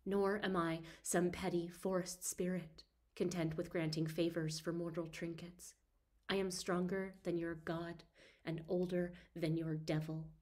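A woman voicing a proud, ancient male god of darkness in an imperious, deliberate storybook reading. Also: on the low side, little pitch movement, darkish tone. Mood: cold defiance.

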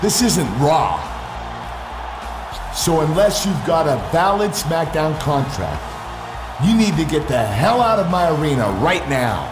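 A man's voice: on the high side, very animated, a little slow. A man playing a smug, bossy wrestling show boss in a video game cutscene. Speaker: wrestling show authority figure. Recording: echoey arena sound with music.

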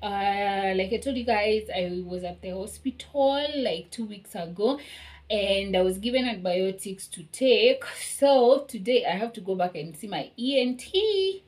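A young woman in her twenties chatting casually to her viewers in a home vlog, her voice slightly hoarse as she is losing it, with lots of fillers and repeated "you guys". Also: mid-range voice, expressive, unhurried, a little mellow. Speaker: vlogger. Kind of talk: casual vlog talk to camera. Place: small apartment kitchen and hallway, indoors. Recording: echoey small room with faint music.

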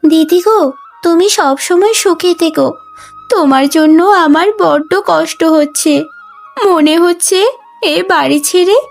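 A woman voicing a gentle young sister in a cartoon, speaking in an earnest, plaintive way. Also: very high-pitched, measured pace, creaky, long pauses, soft background music, full character voice. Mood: tearful affection. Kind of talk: Bengali cartoon voice acting.

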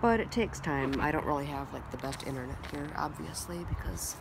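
A woman's voice: low-pitched, lively intonation, a little fast, creaky, very noisy. A woman in her thirties with a cold, chatting casually to a handheld camera on an outdoor deck, rambling with lots of ums. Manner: rambling, many ums and restarts. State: stuffed up with a cold. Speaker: family vlogger. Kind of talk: casual vlog chat. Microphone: handheld camera at arm's length.

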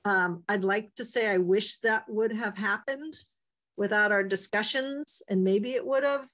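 A woman presenting a committee update to a town board over a video call, speaking in a clear, explanatory and upbeat way. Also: mid-range voice, a little slow, a bit bright.

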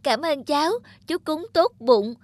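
A woman's voice: high voice, lively intonation, unhurried, soft background music. A woman voicing a kindly, grateful grown female rabbit in a children's cartoon. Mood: grateful, regretful. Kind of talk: children's cartoon storytelling dub.

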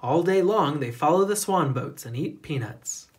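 Animated children's storybook narrator. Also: mid-range voice, moderate pace. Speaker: storybook reader, educator. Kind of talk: expressive children's picture book narration.

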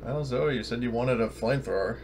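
A man's voice: medium pitch, a little fast, gravelly, noisy background. A man chatting casually as a player in an online tabletop role-playing game, often speaking in character. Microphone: online voice call.

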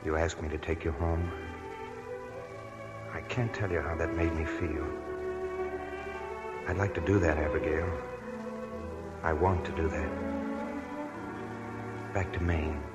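An actor playing a steady young army soldier, firm and earnest, in 1940s radio acting. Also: male voice, rumbling low, slow, long pauses, dull audio. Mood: tender. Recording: old 1940s radio broadcast with music.

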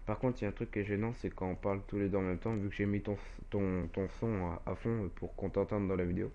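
Brief: low-pitched teen co-player on a voice call, calm and plain. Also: male voice, fast, muffled recording, a little hiss or hum. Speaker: co-player and friend. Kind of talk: casual gaming chat.

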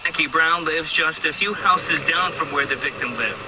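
A man's voice: fairly high pitch, moderate pace. A man in his thirties reporting live on TV from outside a hospital at night. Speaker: TV field reporter. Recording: TV broadcast replayed, noisy.

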